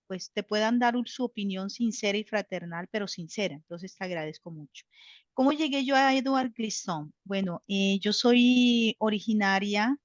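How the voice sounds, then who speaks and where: A woman talks reflectively, stopping often on fillers and restarting her sentences. Her recording sounds a little dull and close, with no room echo. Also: medium pitch. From Colombia. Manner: thoughtful, many fillers and restarts. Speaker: author. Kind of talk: reflective interview answer.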